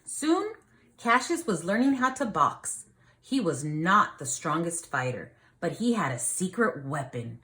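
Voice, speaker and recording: Low-voiced woman reading slowly to children. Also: sing-song, a bit bright. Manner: instructive, pausing to question and explain simply to young listeners. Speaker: teacher reading aloud to children. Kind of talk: children's picture book read-aloud with explanatory asides.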